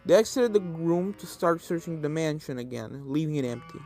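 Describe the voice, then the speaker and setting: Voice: medium pitch, lively intonation, brisk, darkish tone, faint music behind. A narrator reading a scripted fan fiction story aloud.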